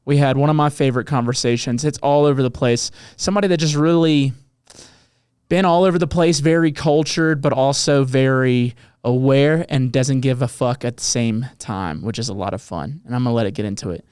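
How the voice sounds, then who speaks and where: A man's voice: mid-range voice, fairly even intonation, a little fast, a little mellow, clear, resonant tone, dry recording, studio quality. A man with a Southern US accent hosting a casual podcast, laid-back and swearing freely, with lots of fillers. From American South. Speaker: podcast host and touring musician.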